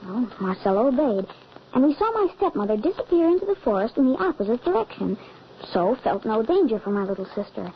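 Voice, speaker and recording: A woman's voice: fairly high pitch, very animated, a little fast. A woman voicing a frightened young boy, the son, in an old radio drama, telling the story urgently. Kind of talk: 1940s radio acting. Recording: dull old radio recording, faint hiss.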